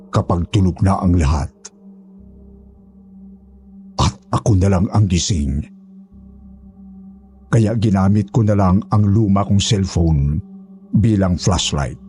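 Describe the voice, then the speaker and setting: A man's voice: sits low, takes it slowly, pauses often, mellow, faint music behind. A man narrating a scripted horror story in the first person as its storyteller.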